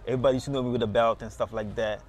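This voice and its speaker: A man's voice: mid-range voice, fairly even intonation, rapid-fire. A man from Senegal, a market booth owner telling his story in a casual street interview, rambling and repeating words. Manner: rambling, repeats words, lots of "you know". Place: outdoors at a beach market booth.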